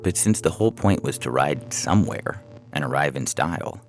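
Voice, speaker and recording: A man's voice: extremely low pitch, lively intonation, moderate pace. A man reading a humorous science fiction story as a scripted narration, with music playing behind him.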